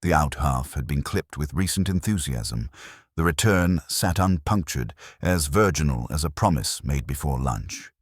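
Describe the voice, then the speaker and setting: A man narrates a literary mystery in a very deep, slightly husky voice. His delivery is measured and wry, with lively movement in pitch and a close, dry sound. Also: no echo. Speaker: audiobook-style narrator.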